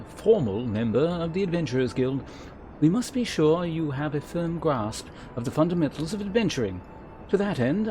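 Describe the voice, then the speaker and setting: A man voicing a courteous, formal innkeeper who mentors adventurers in a fantasy game, speaking in measured, archaic phrases. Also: a little high, dark tone, a little hiss or hum, pitched above the performer's own voice. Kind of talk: read-aloud game character dialogue.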